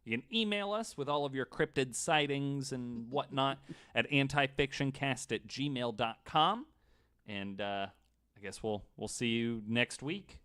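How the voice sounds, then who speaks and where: Casual male podcast host, average pitch. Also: expressive, a little slow, a bit bright, clear, resonant tone. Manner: informal, swears casually. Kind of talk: casual panel chat.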